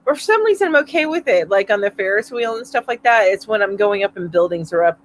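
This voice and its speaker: A woman's voice: medium pitch, lively intonation, quick pace, slight background noise. A middle-aged woman hosting a casual live-stream chat from home, reading out viewer comments in a chatty, rambling way, a little stuffy from allergies. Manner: chatty and rambling, with frequent fillers and laughs. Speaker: host of a weekly live chat. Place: home, mountain area.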